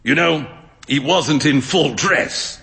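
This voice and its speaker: An actor playing a well-off painter who gently mocks his friend, in a live dramatic reading. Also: fairly high pitch, slow pace, creaky, a little hiss or hum, exaggerated voice. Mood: teasing. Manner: jovial, mocking. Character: teasing well-off male painter, amused and breezy. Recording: long stage reverb in a hall.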